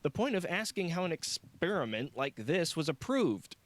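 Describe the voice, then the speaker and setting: A man's voice: on the high side, big pitch swings, normal speed, voice pushed up. A man voicing an insistent, hot-tempered male scientist in his late thirties for an audiobook. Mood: heated, exasperated. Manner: insistent, exasperated, emphatic. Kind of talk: audiobook character dialogue.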